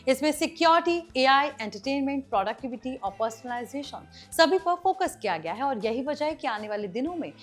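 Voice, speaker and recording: A woman's voice: high voice, expressive, a little fast, few pauses. A woman with an Indian accent hosting tech news, explaining scripted items in a presenter's manner. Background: background music.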